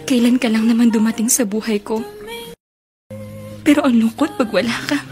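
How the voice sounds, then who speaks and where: A woman playing a sentimental, lovelorn young woman in a radio romance drama, speaking in an emotional, halting way. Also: on the high side, darkish tone, lightly acted.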